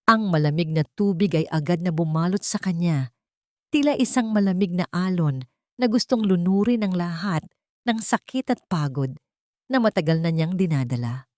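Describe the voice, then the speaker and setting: A woman with a fairly low voice narrates dramatic fiction. Her delivery is solemn and melodramatic, in a scripted storytelling style. The recording is dry and close, with very little room sound. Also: moderate pace, mellow, no echo. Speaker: audiobook narrator.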